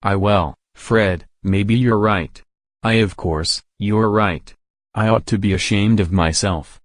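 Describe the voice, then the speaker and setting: A man's voice: fairly low pitch, slow, no echo, clean studio sound. A man playing a kindly but stubborn old Santa Claus in a radio drama. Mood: sheepish, trusting.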